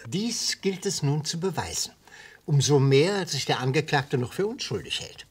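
An actor playing a genial retired old prosecutor in a radio play, grandiloquent and oratorical, with a slightly husky voice. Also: male voice, fairly high pitch, sing-song, moderate pace, full character voice. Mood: relishing, ceremonious. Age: older. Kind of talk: German radio play acting.

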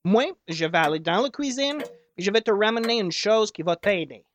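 A man playing an animated, exclamatory buddy. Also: sits high, moderate pace, a little hiss or hum. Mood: bossy, caring. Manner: exclamatory, mixing English into broken French. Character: exuberant anglophone buddy with mangled French. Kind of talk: old-time radio comedy acting.

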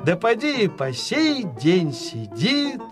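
A man narrating a folk tale as storyteller, in an expressive, dramatized way. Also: sits high, slow pace, music in the background.

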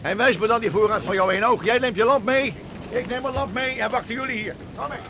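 Stern high-voiced ship's captain. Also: male voice, fast talker, sharp tone, a little hiss or hum, heavily acted. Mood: suspicious, angry. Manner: interrogating, commanding, scolding. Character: stern, suspicious sixteenth-century ship's captain. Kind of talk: radio drama acting.

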